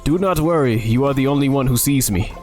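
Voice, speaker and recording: Male Cupid figure, man doing it, lofty, old-fashioned, mid-pitched, soft music behind. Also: brisk, close to an everyday voice. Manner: grand, old-fashioned formal speech. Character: lofty formal male Cupid, guardian of love. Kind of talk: reading a dating game aloud in voices.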